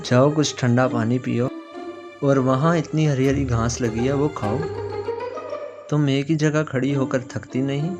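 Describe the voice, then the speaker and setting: A man's voice: mid-range voice, fairly even intonation, measured pace, close to an everyday voice. A man voicing a mischievous, scheming monkey thief in a children's story, exclaiming and muttering in a sing-song way. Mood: coaxing. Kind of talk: animated children's story character acting. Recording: prominent music behind the voice.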